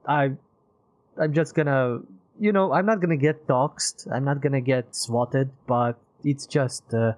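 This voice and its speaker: Male game streamer, Eastern European accent, mid-range voice, casual animated commentary. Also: mid-range voice, measured pace. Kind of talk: casual live gameplay commentary.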